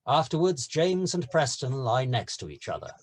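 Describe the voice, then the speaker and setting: A man's voice: medium pitch, very animated, normal speed. A man narrating scene headings and stage directions from a script.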